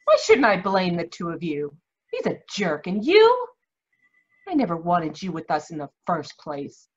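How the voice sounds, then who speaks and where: A woman playing a haughty, bitter, sharp-tongued middle-aged aunt in 1940s radio drama style, domineering and husky. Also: lowish voice, wildly swooping pitch, a little slow, lo-fi sound, full character voice. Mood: scornful anger. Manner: domineering, scornful.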